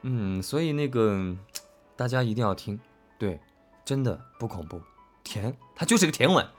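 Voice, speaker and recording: A man's voice: mid-range voice, expressive, normal speed, faint music behind. A man co-hosting a casual chat about an audio drama as one of its voice actors, effusive and rambling, repeating himself for emphasis. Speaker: voice actor co-hosting a fan talk.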